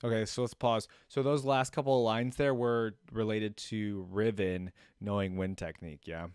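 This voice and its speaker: A man's voice: on the low side, fairly even intonation, normal speed, a little mellow, clean tone, no echo, clean studio sound. A man giving casual, informal reaction commentary as a streamer, thinking aloud as he goes. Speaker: streamer reacting to game videos.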